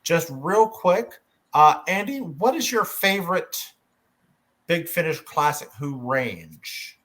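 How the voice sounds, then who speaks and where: A man hosting a fan panel in casual conversation, with a hesitant, halting delivery. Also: on the high side, big pitch swings, a bit bright.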